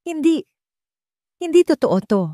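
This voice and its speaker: A woman's voice: high voice, expressive, unhurried, mellow, no echo, pitched above the performer's own voice. A desperate wife in an audio drama , acted by a woman narrator, pleading against an accusation. She sounds emotional and wounded. The recording is dry. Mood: shocked pleading.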